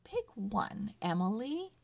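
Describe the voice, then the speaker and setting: A woman voicing a practical, reassuring mother in a Christmas storybook, with measured, careful phrasing. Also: mid-range voice, big pitch swings, vocal fry, darkish tone, full character voice.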